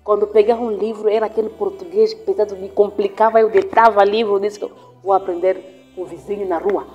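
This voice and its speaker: A woman's voice: medium pitch, fairly even intonation, dark tone, slightly rough, faint music behind. Earnest woman pastor, Swahili native. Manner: earnest, reflective, with pauses and restarts. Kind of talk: testimony in a conversational interview.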